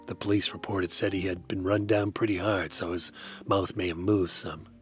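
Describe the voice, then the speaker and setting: A man narrating in hard-boiled first person as a detective, with a dry, wry, deadpan delivery. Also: low-pitched, normal speed, faint music behind.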